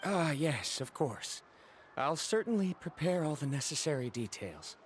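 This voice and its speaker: An actor playing an earnest, awkward scholar in a video game, speaking formally and a little hesitantly. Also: male voice, on the high side, sing-song, unhurried, a little hiss or hum. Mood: eager, flustered.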